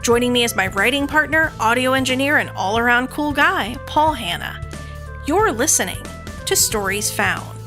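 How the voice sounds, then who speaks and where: Podcast host: American woman, higher-pitched, lively intonation, effusive and chatty. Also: over music. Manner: enthusiastic, effusive, quick to laugh. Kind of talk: scripted introduction and casual interview chat.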